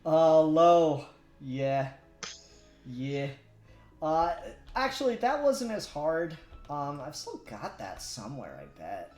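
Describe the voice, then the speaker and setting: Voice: medium pitch, huge pitch swings, slow pace, darkish tone. A livestreamer chatting casually and muttering to themselves while working, with the odd swear word. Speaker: livestreamer doing 3D modelling. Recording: roomy echo with music behind.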